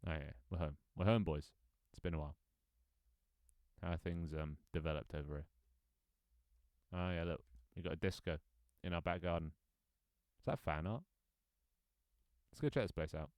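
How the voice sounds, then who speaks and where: A man's voice: low-pitched, normal speed, pauses often, darkish tone, dry recording. A man in his twenties streaming his own game, chatting casually with viewers, rambling with frequent ums and restarts. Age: 20s. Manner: rambling, lots of ums and restarts, reads chat aloud. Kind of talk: casual live-stream chat.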